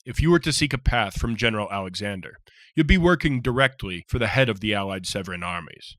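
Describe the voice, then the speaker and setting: A man voicing a giant, gentle, talkative male soldier who speaks in hearty, rough folksy phrases. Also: fairly low pitch, animated, fast, no room sound, voice pushed up. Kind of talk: audiobook character voice.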